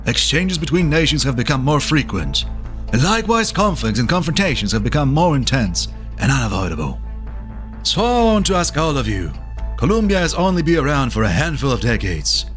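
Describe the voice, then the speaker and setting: Man acting as a lively, rousing politician. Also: fairly high pitch. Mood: earnest, rousing. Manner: rhetorical, declamatory. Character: folksy, rousing male vice president making a public speech. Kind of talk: political oratory. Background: faint music.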